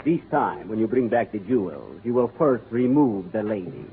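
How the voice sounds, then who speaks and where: A man playing a vain, smooth-talking crook in a 1940s radio comedy, with a hammy, mock-romantic delivery. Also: on the low side, expressive, normal speed, heavy background noise. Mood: scheming. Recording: tinny old radio broadcast with audience.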